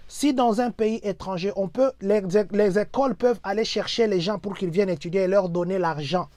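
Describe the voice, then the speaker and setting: A man with a Congolese French accent speaks to his viewers in a mellow, slightly high voice, building his points through repeated rhetorical phrases and dropping into Lingala at times. The sound is dry and close to the mic. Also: fairly quick, no room sound. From Democratic Republic of the Congo. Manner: repetitive rhetorical, mixes in Lingala. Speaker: host of a diaspora channel. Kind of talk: exhortative address to viewers.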